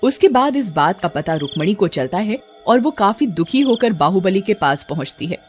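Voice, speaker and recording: A woman's voice: mid-range voice, sing-song, normal speed. A woman narrating a dramatic scripted story. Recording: music under the voice. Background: background music.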